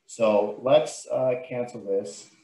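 A man giving an informal, step-by-step software tutorial as a trainer, explaining what he does on screen. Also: medium pitch, takes it slowly. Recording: roomy, echoing sound.

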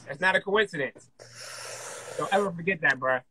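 Husky, bright male informal preacher. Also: on the high side, moderate pace, poor audio. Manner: emphatic, repeats phrases. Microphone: close podcast microphone with headset.

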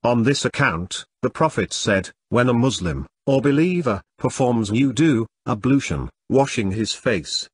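A man delivering a Friday sermon from a prepared English translation in a measured, formal manner. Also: mid-range voice, animated, breathy edge. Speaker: preacher.

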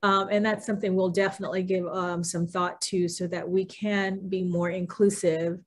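A woman's voice: on the low side, flat delivery, moderate pace. A middle-aged woman leading a church group discussion over a video call from a room at home, encouraging and full of fillers. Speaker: ministry group leader. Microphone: video call, laptop camera.

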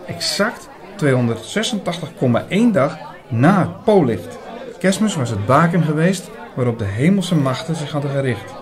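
A man playing an earnest older priest who narrates a radio drama in a measured way, with dramatic pauses. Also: on the high side. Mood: earnest, moved. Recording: busy chatter behind the voice. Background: busy restaurant crowd.

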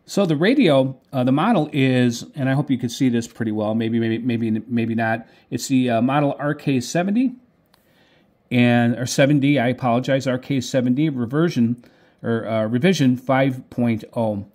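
A middle-aged or older man hosting a hobby video, explaining casually off the cuff in a halting, tentative way with frequent self-corrections. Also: medium pitch, a little mellow. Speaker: video host, radio hobbyist. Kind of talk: casual product talk, unscripted explaining.

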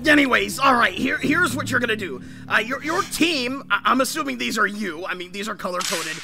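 A man's voice: very high voice, lively intonation, fairly quick, vocal fry, heavily acted. A man playing a bombastic, petty middle-aged mad scientist villain in an improvised comedic cartoon fandub, ranting theatrically and swearing. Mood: scornful. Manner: ranting, theatrical, foul-mouthed.

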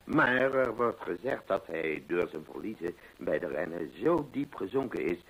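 An actor playing a genial pensioner and loyal companion in a radio play, chatty and a little hesitant, with a gravelly, husky voice. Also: male voice, sits low, sing-song, moderate pace, a bit bright, full character voice, low-quality recording. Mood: chatty, informative. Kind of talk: Dutch radio play acting. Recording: old radio play recording.

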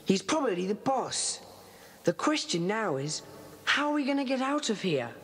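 A woman's voice: mid-range voice, big pitch swings, normal speed, natural voice. A woman playing a bold, bossy schoolboy in a children's audio drama. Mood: earnest, concerned. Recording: reverberant cellar effect, old cassette.

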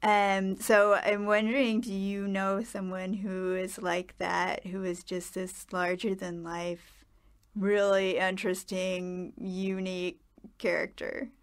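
A woman hosting a podcast, gently prompting and encouraging her guests. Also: mid-range voice, flat delivery, slow. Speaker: podcast host. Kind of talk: interviewing guests.

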